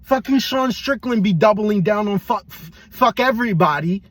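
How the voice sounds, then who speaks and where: A man's voice: sits high, lively intonation, normal speed, fairly bright tone, a little hiss or hum. A young adult man casually giving blunt, profane, rambling fight predictions as a podcast host.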